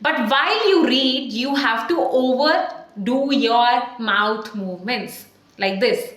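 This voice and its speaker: A woman in her thirties with an Indian accent, coaching viewers on camera in an emphatic, animated way with questions to the viewer. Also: on the high side, slow pace. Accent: Indian English. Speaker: communication coach. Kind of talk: to-camera tutorial. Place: small tiled room, indoors, at a desk. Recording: echoey small room.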